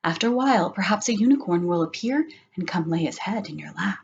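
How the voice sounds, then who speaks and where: A woman's voice: medium pitch, lively intonation, brisk, dull audio, slight background noise, natural voice. Woman reading as sly, cunning royal adviser. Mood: scheming.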